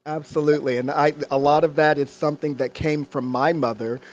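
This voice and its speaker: A man's voice: a little high, steady tone, fairly quick, dull audio. A man from middle Georgia, a guest on an interview show, speaking earnestly and with some fillers. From middle Georgia, USA. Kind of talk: conversational interview.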